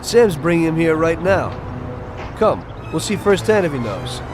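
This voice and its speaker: Terse, lively-pitched 1930s gangster. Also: male voice, moderate pace, music in the background. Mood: firm, wary. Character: wary 1930s gangster, man, dry and tough. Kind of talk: video game voice acting.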